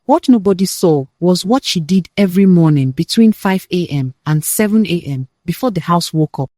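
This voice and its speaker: Low-voiced woman storyteller, dry close sound. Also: lively intonation, moderate pace, dark tone, no room sound. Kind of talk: scripted dramatic narration of a folktale-style family story.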